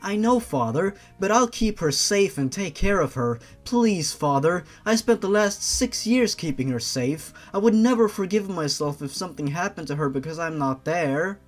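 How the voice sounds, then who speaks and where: A man's voice: sits high, expressive, moderate pace, hardly any pauses, faint music behind. A man voicing an earnest, devoted daughter in a read-aloud story, formal and pleading. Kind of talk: story reading in character.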